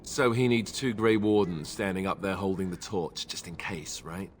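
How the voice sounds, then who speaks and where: Man voicing a sarcastic young soldier, grumbling, lowish voice, faint ambience. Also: steady tone, normal speed, slight background noise, natural voice. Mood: wry. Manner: sarcastic, wisecracking. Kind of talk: video game voice acting.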